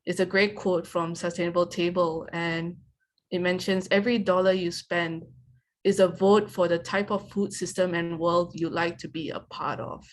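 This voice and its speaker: A woman hosts a panel and talks fast, her sentences strung together with "like" and "you know". Her voice sits a little low. A faint hiss of background noise sits under her speech. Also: little pitch movement. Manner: fast, filler-heavy with frequent "like". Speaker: panel moderator. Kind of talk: panel discussion.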